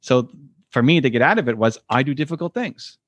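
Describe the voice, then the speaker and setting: A man's voice: animated, fairly quick, no echo. A man as a podcast guest, answering earnestly and acting out quoted voices. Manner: earnest, acts out quoted voices with rising pitch. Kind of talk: reflective conversational interview answers.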